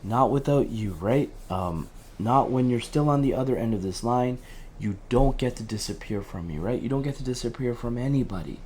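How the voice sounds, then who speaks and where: A man's voice: medium pitch, expressive, normal speed, a little mellow, a little hiss or hum, lightly acted. A man playing an earnest, empathetic crisis helpline operator in an audio drama, reassuring and imploring in short, repeated pleas. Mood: pleading.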